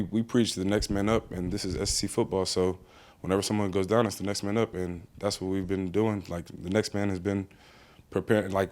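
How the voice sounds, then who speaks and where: Young man, American, low-pitched, laid-back, filler-heavy, press conference answers. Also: darkish tone. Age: young adult. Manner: casual, plainspoken, with frequent fillers. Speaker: college football player.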